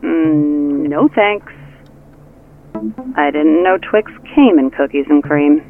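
An actress playing an exasperated, sarcastic, curt co-worker over a phone in an audio drama. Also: female voice, low voice, slow. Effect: phone filter. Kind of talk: naturalistic audio drama acting. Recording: muffled phone-filtered sound with music.

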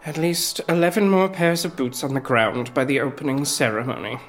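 An actress playing an earnest, dutiful captain of the guard, formal and deferential but insistent. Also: female voice, extremely low pitch, fairly quick, faint music behind. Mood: concerned. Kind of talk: scripted audio drama acting.

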